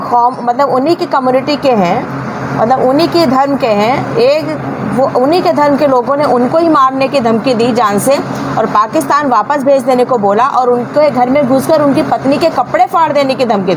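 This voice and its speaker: A woman with a high voice delivers informal news commentary. She sounds indignant and scolding, leaning on emphatic rhetorical questions to make her points. There is clearly audible noise behind her. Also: moderate pace. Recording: clearly noisy background.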